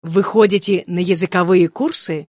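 A speaker reading short phrasebook sentences slowly and clearly for language learners. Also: medium pitch, fairly even intonation, a little mellow, dry recording, clean studio sound. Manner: slow, clearly spaced repetitions. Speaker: phrasebook reader.

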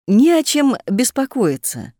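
A woman reading short phrase translations aloud for a language lesson in a clear, measured way. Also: on the high side, very animated, mellow, no room sound, clean studio sound. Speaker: phrasebook reader.

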